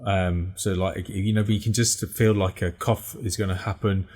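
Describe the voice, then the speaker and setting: Low-voiced man giving practical tips. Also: fairly even intonation, normal speed, a little mellow, husky. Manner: practical, explanatory, with fillers. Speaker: podcast co-host. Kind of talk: casual video podcast chat. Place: home room, indoors. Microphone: headset on video call.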